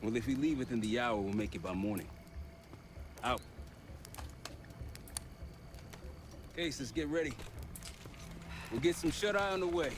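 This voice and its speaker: A man's voice: mid-range voice, brisk, lightly acted. A male actor in a video game cutscene playing a terse, businesslike covert operative who commands a team. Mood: questioning, decisive. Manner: terse, purposeful. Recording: loud music behind the voice. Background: loud music.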